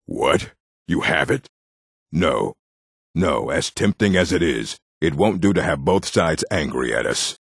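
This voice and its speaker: An actor, a man, playing a surly, domineering orc with a gravelly, raspy voice and brusque delivery in a video game. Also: a bass voice, big pitch swings, measured pace, dry recording, clean studio sound, exaggerated voice. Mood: stern, grudging. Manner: curt, brusque. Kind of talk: video game character acting.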